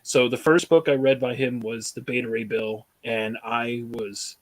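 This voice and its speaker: A man's voice: mid-range voice, fairly even intonation, a little slow, dry recording, low-quality recording. A man with a mid-range voice jokes and teases his way through a casual video call chat. The sound is dry and close. He laughs easily between lines. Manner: jokey, teasing, laughs often. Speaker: comic channel host in group chat.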